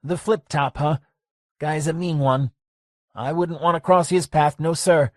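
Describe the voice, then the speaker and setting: A man putting on a high folksy old-timer hangout owner. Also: steady tone, unhurried, no echo, clean studio sound, close to an everyday voice. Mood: wistful.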